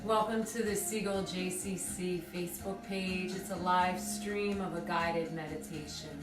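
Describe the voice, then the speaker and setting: A woman leading a guided meditation with slow, deliberate, soothing phrasing and pauses. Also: on the low side, steady tone. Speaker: group exercise coordinator leading guided meditation. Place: indoors on a rug by firelight. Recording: roomy, distant mic, music behind.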